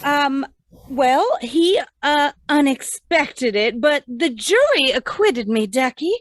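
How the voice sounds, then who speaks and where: Woman playing a high, cooing femme fatale. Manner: cooing, coquettish. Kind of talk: old-time radio comedy acting.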